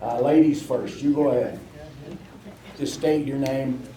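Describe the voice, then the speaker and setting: A man briskly chairing a county meeting and opening it to public comment. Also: medium pitch, fairly even intonation, mellow. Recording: echoey room, distant mic, audible noise.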